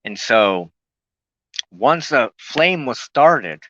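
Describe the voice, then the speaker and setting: A man giving an earnest, unscripted spoken teaching as a broadcast host, reflective and halting, often pausing in the middle of a sentence. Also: mid-range voice, sing-song, bright, forceful tone, dry recording, muffled recording.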